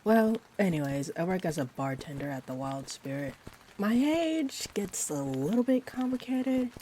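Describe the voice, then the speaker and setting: A woman playing a sweet, rambling young-looking vampire bartender in an intimate roleplay audio, speaking gently and hesitantly with whimsical tangents. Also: fairly low pitch, normal speed, slight background noise. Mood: chatty, musing. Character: sweet rambling friendly female vampire bartender, looks twenty-four. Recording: steady rain ambience behind the voice. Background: rain.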